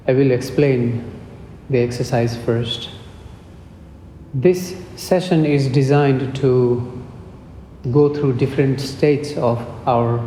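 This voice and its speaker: Slow, mellow man guiding meditation in reverberant room. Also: medium pitch. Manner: measured, pausing between short phrases. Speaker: meditation teacher. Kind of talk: guided meditation instruction. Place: indoor group meditation session. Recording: roomy hall reverb with background noise.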